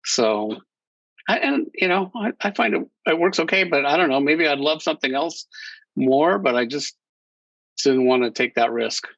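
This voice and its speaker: A man's voice: a little high, expressive, moderate pace, gravelly, fairly bright tone, no room sound, highs cut off. A man speaking as a guest on a casual panel, hesitant and full of restarts. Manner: hesitant, many fillers and restarts.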